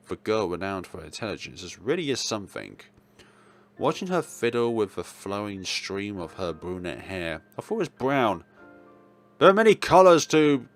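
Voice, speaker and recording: A man hosting a let's play, reading dramatic narration aloud with casual, jokey asides. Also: fairly low pitch.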